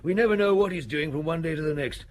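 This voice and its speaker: A man's voice: fairly high pitch, fast talker, lightly acted. An actor playing a chatty, respectable family man in a radio drama, affable but uneasy, genteel and a little hesitant. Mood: affable, teasing.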